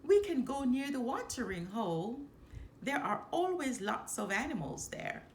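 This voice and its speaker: A woman's voice: on the high side, very animated, unhurried, pitched above the performer's own voice. A woman voicing an eager, playful young male lion cub in a storybook, with childlike exclamations. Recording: roomy echo, background noise.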